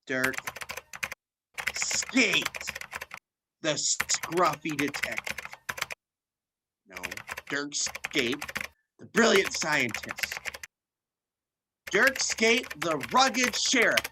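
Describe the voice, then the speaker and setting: A woman's voice: a bass voice, noisy background, heavily acted. A woman playing a whiny, imaginative fourth-grade kid in an amateur radio play. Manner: whiny, groaning, dramatic.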